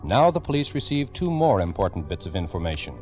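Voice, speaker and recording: A man's voice: sits low, very animated, fast, muffled recording, over loud music. A man narrating a 1940s radio crime program in a grave, emphatic, urgent manner on an old recording. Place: radio studio.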